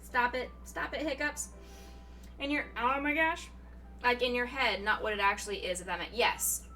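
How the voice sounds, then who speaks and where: A woman's voice: normal speed, pushed and bright, far from the mic, a little hiss or hum. A young woman streaming from home, chatting casually and playfully with chat while fighting off hiccups. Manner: chatty and playful, swings into high surprised exclamations. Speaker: Twitch streamer. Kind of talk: casual live-stream chat, reading chat messages. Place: home room. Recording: voice a little off-mic, music behind.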